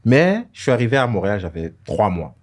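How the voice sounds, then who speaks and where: A young man from Congo, co-hosting a relaxed podcast conversation. His pitch rises and falls a lot, and he drops into mimicry and jokes. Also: brisk. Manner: animated, joking, mimics voices. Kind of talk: casual chat.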